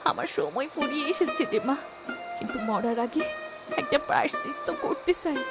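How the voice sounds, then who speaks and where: An anxious, remorseful servant mother from a fairy-tale cartoon. Her voice is on the high side, plaintive and theatrical, with music clearly underneath. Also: huge pitch swings, a little fast. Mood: sorrowful, confiding.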